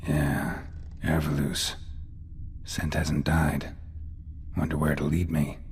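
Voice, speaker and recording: A world-weary fantasy game monster hunter in an extremely low, murmuring voice. He speaks in clipped, deadpan observations, like someone coolly working through clues. Some light ambient noise sits behind him. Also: slow. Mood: pensive, muttering. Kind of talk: video game voice acting.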